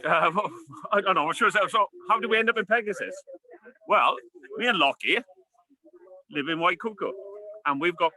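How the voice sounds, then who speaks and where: A man's voice: sits high, sharp tone, low-quality recording. A man from Wales, a parkrun regular talking casually outdoors and explaining words with care. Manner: earnest, explaining words slowly. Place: outdoors at a park run event.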